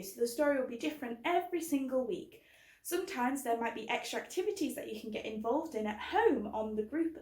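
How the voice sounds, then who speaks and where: A woman's voice: sits high, darkish tone. A woman hosting a children's book club, explaining things simply and putting questions to young listeners. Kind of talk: scripted-sounding introduction for young children. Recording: roomy, echoing indoor sound.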